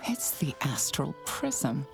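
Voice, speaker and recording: A fantasy game narrator with a deep female voice full of heavy fry. She speaks slowly and deliberately, breathy and hushed, over faint music. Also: sing-song, full character voice. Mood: wry, gentle. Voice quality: breathy, hushed, often near a whisper. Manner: measured, deliberate storytelling. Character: female storyteller narrating a dark fantasy adventure. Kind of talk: scripted second-person fantasy game narration.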